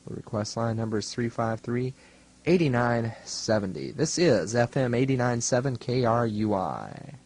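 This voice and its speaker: A man's voice: medium pitch, animated, moderate pace, a little mellow, slight background noise. A young man working as a student DJ, talking casually between songs on college radio in a wry, rambling, self-deprecating way. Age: young adult. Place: radio studio.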